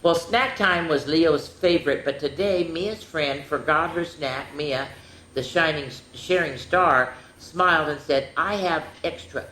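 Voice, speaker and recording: Woman storyteller for kids, mid-pitched, animated read-aloud with friendly asides; roomy echo, faint background noise. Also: measured pace. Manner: animated, switches into higher voices for characters; adds chatty asides to young listeners. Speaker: children's storyteller. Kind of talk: reading picture books aloud to children. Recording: echoey room with faint ambient noise.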